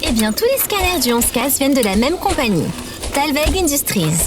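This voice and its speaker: Casting: woman as cheerful android sidekick for audio drama, very high animated delivery, exact, enthusiastic, innocently fierce. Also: normal speed, music in the background. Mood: matter-of-fact. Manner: enthusiastic, precise, explanatory. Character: cheerful female robot companion, eager, naively fierce. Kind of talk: audio fiction acting.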